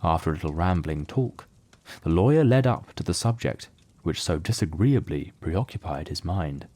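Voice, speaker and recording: A man narrating a literary mystery as scripted audio drama, in a measured, solemn storytelling manner. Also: sits low, expressive, warm tone, no echo.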